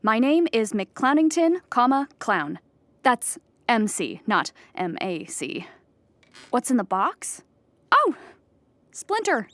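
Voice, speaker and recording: An actress playing an anxious, childlike clown who rambles earnestly in a theatrical audio comedy monologue. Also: female voice, fairly high pitch, big pitch swings, takes it slowly, long pauses, a bit bright, no echo, heavily acted. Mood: earnest, nervous. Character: anxious, childlike clown pleading at an appeal hearing.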